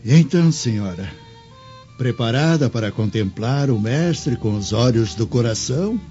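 A man plays a devout old patriarch in a radio drama. His mellow voice is gentle, reverent and kindly, with faint music behind it. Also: medium pitch, animated, a little slow, lightly acted. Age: older. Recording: dull recording with faint music.

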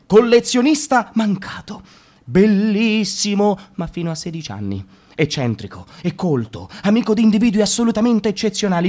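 A man reading a comic monologue for radio theatre in a wry, sardonic way, with sudden jumps in pitch. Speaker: radio drama reader.

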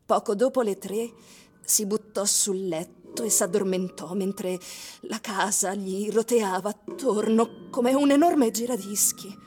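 A woman giving dramatic, literary radio narration with deliberate, suspenseful phrasing. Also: mid-range voice, a little mellow. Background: music.

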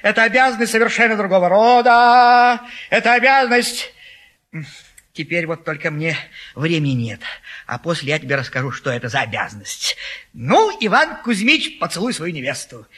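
Stage comedy: bossy male meddler, scolding, high and wildly swinging in pitch. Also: big pitch swings, moderate pace, a bit bright, full character voice. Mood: bossy, pompous. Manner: blustering, emphatic, scolding. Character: bossy, exasperated meddling matchmaker friend, a loud blustering man. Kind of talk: theatrical stage comedy acting.

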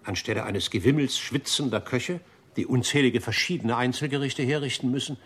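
An actor playing a pompous, fastidious gentleman gourmet in a German radio drama, lecturing formally and rhapsodizing about food. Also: mid-range voice, husky. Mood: lecturing, fervent. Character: pompous, fastidious gentleman gourmet, obsessively devoted to one restaurant.

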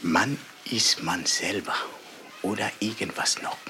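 An actress playing a brooding cultural worker, musing in short, fragmented spoken-word phrases. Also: female voice, very deep voice, slow pace, sharp tone, a little hiss or hum, full character voice. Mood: brooding, detached.

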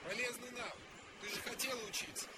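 An actor playing a laconic, self-assured petty thief who gives curt instructions, in a naturalistic radio play. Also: male voice, extremely high pitch, fast talker. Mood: cool, teasing. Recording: loud background noise, vehicle ambience.

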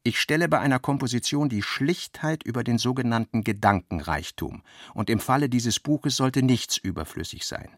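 A man reading an author's literary notes for a radio play in a deliberate, reflective way. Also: medium pitch, dry recording, studio quality.

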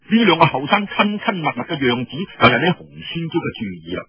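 Animated, husky male Cantonese storyteller. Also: fairly high pitch, a little fast. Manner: animated, conversational asides. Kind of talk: dramatic Cantonese storytelling, colloquial scripted narration. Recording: dull, echoey radio-style recording, faint music.

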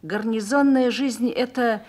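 A woman's voice: a little high, measured pace, darkish tone. A woman playing a mature officer's wife in a radio drama, reflective and confiding as she reminisces and explains. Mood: thoughtful, persuasive. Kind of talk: Russian radio drama acting.

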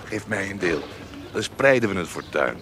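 An actor playing an effusive rogue in a radio drama, with a sighing, exclamatory way of talking. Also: male voice, sits low, lively intonation, moderate pace, noisy background. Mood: curious, confiding. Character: effusive rogue, grateful male companion of adventuress. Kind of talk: theatrical radio drama acting.

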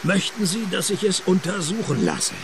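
An actor playing a cynical private detective who narrates a radio play in a dry, sardonic tone. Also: male voice, high voice, normal speed, a crowd in the background, heavily acted. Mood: deadpan. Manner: deadpan, sardonic.

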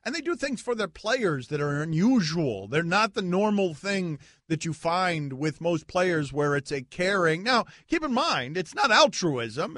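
A sports radio host with a high, somewhat whiny voice who talks loudly and excitedly. He argues with energy, often pushing his voice up. The studio sound is dry and close. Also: dry recording. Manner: loud, excitable, animated. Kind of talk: heated sports talk debate.

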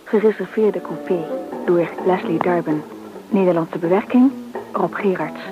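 Slow, deliberate female radio announcer over music. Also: lowish voice. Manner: formal, deliberate. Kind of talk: scripted reading of credits. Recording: dull old radio broadcast. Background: loud music.

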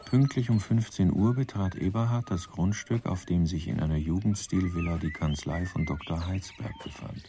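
A man playing a dry, ironic storyteller in a radio drama, measured and deadpan. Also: low-pitched, music in the background. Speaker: narrator. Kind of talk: scripted radio drama narration.

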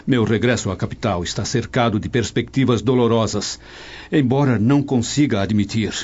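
A man's voice: medium pitch, moderate pace, a little mellow, lightly acted. An actor playing a brooding, apprehensive Roman nobleman in a radio drama, with formal, earnest, theatrical diction. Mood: troubled, uneasy. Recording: dull audio over music bed.

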